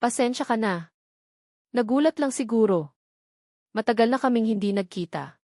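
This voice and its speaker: A woman voicing a smooth-talking, controlling rich man who puts on a cheerful, friendly act in a storybook reading. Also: fairly high pitch, animated, moderate pace, mellow, no room sound, clean studio sound. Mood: breezy. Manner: breezy, chatty, overly friendly.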